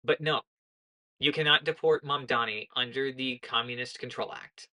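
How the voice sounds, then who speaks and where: A young American woman giving casual political commentary in a monotone voice, earnest and lecturing, often correcting herself mid-sentence. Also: extremely low pitch, normal speed, poor audio. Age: young adult.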